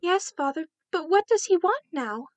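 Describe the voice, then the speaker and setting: A small, obedient girl in a radio play. The voice is extremely high and recorded dry. She is innocent and curious, always asking questions. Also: lively intonation, moderate pace, darkish tone, no room sound, highs cut off. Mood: anxious, curious. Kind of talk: radio drama acting.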